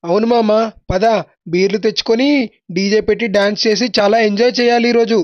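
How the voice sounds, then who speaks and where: A man's voice: sits high, steady tone, a little fast, no room sound, lo-fi sound, close to an everyday voice. A man playing a carefree, rowdy young village drinker who loves partying, in a moral story cartoon. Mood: carefree, excited.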